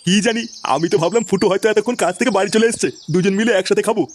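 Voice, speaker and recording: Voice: mid-range voice, racing, darkish tone, slight background noise, natural voice. An actor voicing a querulous, curious old grandfather in a comedy cartoon, with a folksy, questioning manner. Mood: concerned.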